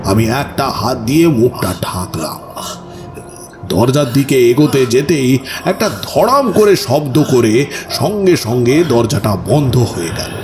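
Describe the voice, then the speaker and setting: Audio horror drama: male actor as eerie village raconteur, unhurried and deliberate, widely varied intonation, music underneath. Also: medium pitch, lively intonation, natural voice. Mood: ominous, suspenseful. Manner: suspenseful, deliberate, dramatic pauses.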